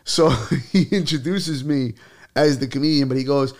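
A young man, a comedian, telling stories in casual podcast chat and slipping into put-on accents. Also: medium pitch. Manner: animated, rapid switches into put-on accents. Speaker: comedian guest.

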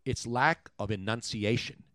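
A man's voice: mid-range voice, measured pace, a bit bright, dry recording. A man from Philadelphia hosting a talk radio show, joking around in mock outrage and rambling. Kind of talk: casual sports talk radio.

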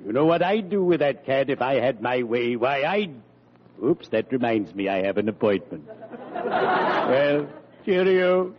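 A man's voice: mid-range voice, sing-song, measured pace, a little hiss or hum. A man playing a friendly, morbidly cheerful undertaker in a grand, genteel, theatrical manner for an old radio comedy. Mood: cheerfully conspiratorial. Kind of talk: 1940s-50s American radio comedy acting. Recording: dull old radio recording.

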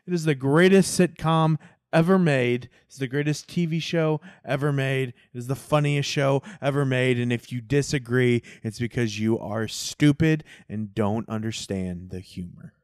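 A man's voice: medium pitch, dry recording, clean studio sound. A man co-hosting a podcast in casual chat, opinionated and emphatic, swearing freely. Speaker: podcast co-host.

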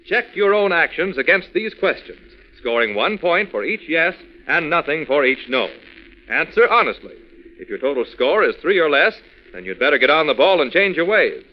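Casting: male old-time radio pitchman for kids, bright, highish, chummy and instructive. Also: sing-song, moderate pace, low-quality recording. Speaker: radio announcer. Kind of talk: 1940s radio scripted address to children.

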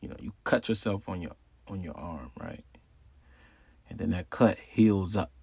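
A man's voice: low voice, expressive, normal speed, creaky, a little mellow, slightly rough, no room sound, studio quality. A man hosting an astrology talk in a casual, conversational way, repeating phrases and asking rhetorical questions. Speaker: astrology channel host. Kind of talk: casual explanatory monologue.

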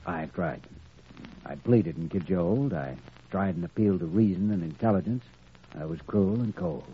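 An actor playing an earnest, caring young doctor in a 1940s radio drama, with a measured, persuasive manner. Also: male voice, low-pitched, lightly acted. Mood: resigned. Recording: muffled, noisy old radio recording.

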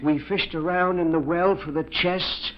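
High-voiced, folksy old prospector. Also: male voice, steady tone, unhurried, background noise. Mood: haunted, recounting. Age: older. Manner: rustic, folksy, ungrammatical speech. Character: weird old male prospector, superstitious and haunted. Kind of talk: 1940s radio mystery acting. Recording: dull 1940s radio recording.

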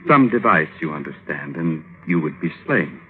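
An actor playing a poor, sardonic shepherd, measured and terse in old radio drama style. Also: male voice, extremely low pitch, animated, slightly rough, full character voice. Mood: bluntly candid. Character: poor, sardonic shepherd son, bluntly candid. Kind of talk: 1950s radio drama acting. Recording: muffled, noisy old radio recording.

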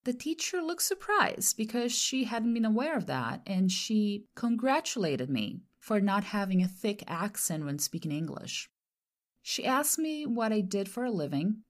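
A woman from Brazil whose first language is Portuguese, hosting a podcast and telling a personal story in a reflective, expressive way. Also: medium pitch. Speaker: podcast host and translator. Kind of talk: personal monologue with an ad read.

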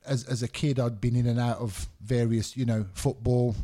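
A man's voice: medium pitch, steady tone, normal speed, mellow. A middle-aged man, born in Scotland and raised in England, talking candidly as a guest on a casual podcast, rambling with lots of fillers. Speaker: MMA referee, guest.

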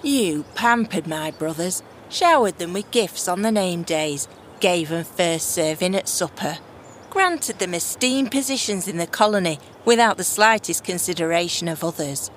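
A woman's voice: on the low side, animated, moderate pace, slight background noise, lightly acted. A woman playing a bitter, defiant runaway daughter of a monarch in a fantasy audio drama, sarcastic and blunt, with slangy complaints.

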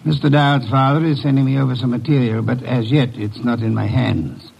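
An actor playing a shrewd, heavyset, imperious private detective with formal, precise, dryly pompous diction in a classic radio drama. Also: male voice, medium pitch, fairly quick, vocal fry, a little mellow, husky, highs cut off. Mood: cool, interrogating.

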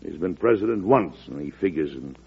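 An actor playing an aging, stubborn former president in an old-time radio drama, emphatic, folksy and self-assured. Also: male voice, sits low, expressive, a little fast, vocal fry, a little hiss or hum. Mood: wry, determined. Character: aging former president, stubborn, commanding, wily political boss. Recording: muffled lo-fi old radio broadcast.

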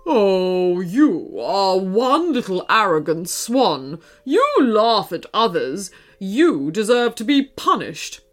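A woman's voice: mid-range voice, big pitch swings, slow pace, hardly any pauses. A woman voicing a huge, devilish male crocodile in a storybook, menacing and gloating.

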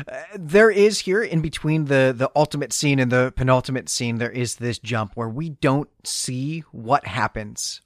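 A man in the middle of the pitch range talks through his thoughts on a story as a podcast co-host. He stops and restarts often, fills gaps with uhs, and laughs lightly now and then. His voice is dry and close to the mic. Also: a bit bright, no echo. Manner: thoughtful, halting, with many fillers and light laughs. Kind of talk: casual discussion.

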